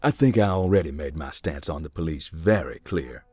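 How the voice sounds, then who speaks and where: An actor playing a dry, sardonic man who believes in ghosts, speaking deadpan in game dialogue. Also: rumbling low, normal speed, slightly rough, dry recording, faint music behind, heavily acted.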